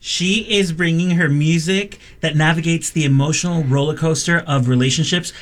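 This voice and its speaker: Brief: male radio co-host, slightly high voice, friendly, chatty interviewer. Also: steady tone, normal speed.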